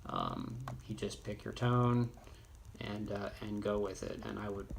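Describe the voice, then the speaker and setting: Gravelly man giving a halting software tutorial. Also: fairly low pitch, steady tone. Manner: halting, rambling, thinking aloud. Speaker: tutorial presenter. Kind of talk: informal off-the-cuff software tutorial. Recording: clearly noisy, with keyboard clicks.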